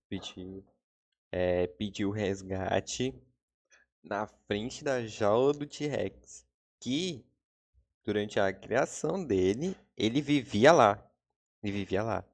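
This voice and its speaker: A man with a Brazilian accent giving casual, unscripted reaction commentary, halting and repeating his words. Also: on the low side. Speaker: reaction video host.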